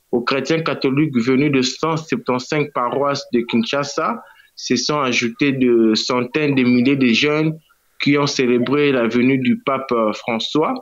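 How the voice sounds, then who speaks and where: A man's voice: medium pitch, a little hiss or hum. A Congolese man reporting in a radio interview, hesitant, with many fillers and restarts. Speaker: guest correspondent. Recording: dull lo-fi line.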